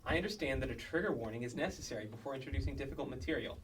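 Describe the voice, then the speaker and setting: A man playing a polite female substitute teacher with measured, formal speech in a sketch comedy reading. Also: mid-range voice, expressive, a little hiss or hum, lightly acted. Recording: slightly distant microphone.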